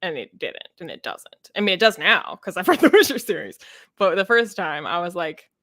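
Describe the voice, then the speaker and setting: Female podcast co-host, somewhat low pitch, dry recording; opinionated, emphatic, informal book talk. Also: very animated, normal speed, bright, forceful tone, no room sound. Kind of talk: casual book discussion.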